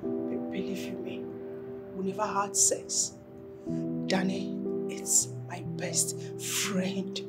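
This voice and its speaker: Actress as a slow, pleading young woman. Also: mid-range voice, monotone, over loud music, natural voice. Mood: earnest, reassuring. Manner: halting, pleading. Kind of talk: Nigerian romantic drama film acting.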